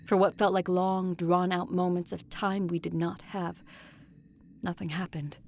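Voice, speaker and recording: An actress plays a woman conqueror recounting her quest in an audio drama. Her voice sits a little low, with some noise behind it. She narrates in the first person and speaks with the other characters. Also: little pitch movement, a little slow, darkish tone. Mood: measured narration.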